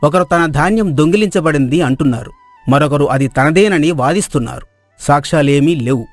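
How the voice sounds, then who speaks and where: Man as a higher-voiced royal minister. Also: brisk, dry recording, faint music behind, natural voice. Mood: formal, official. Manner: official, orderly. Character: formal royal minister addressing princes.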